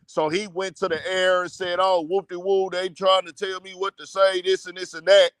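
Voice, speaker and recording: A man hosts a casual livestream commentary show and rants, blunt and profane. His voice sits high and comes through smooth and clear. It is recorded close on a dry mic with no room sound. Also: little pitch movement, normal speed, a bit bright, dry recording. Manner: blunt, profane, heated rant.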